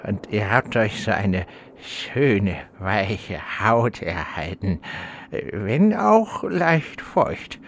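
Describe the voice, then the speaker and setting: A man's voice: lowish voice, very animated, extremely slow, a little hiss or hum, full character voice. A man playing a pompous undead villain in a parody fantasy audio drama, with theatrical, old-fashioned formal speech. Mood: smug, fawning. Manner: theatrical, archaic formal address. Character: pompous undead male villain, half-blind doddering minion of a dark master.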